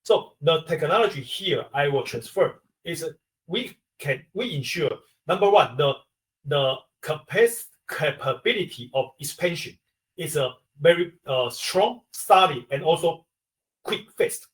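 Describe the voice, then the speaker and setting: Taiwanese-accented man, expert guest, slightly high voice, halting explanatory English, distant mic on a video call. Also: expressive, a bit bright. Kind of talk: webinar answer to a question.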